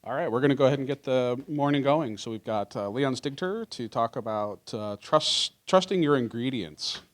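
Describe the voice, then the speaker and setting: A man's voice: medium pitch, normal speed. A man in his thirties, a conference emcee, introducing a speaker in a casual, joking way on a theater stage with a handheld mic.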